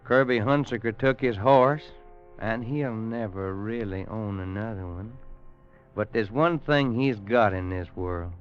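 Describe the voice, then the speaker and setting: An actor playing a loyal, fatherly old frontiersman with a rustic American drawl and halting folksy speech in an old-time radio Western. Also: fairly low pitch, natural voice. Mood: somber, reflective. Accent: American Southern or Western drawl. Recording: dull vintage radio recording with music.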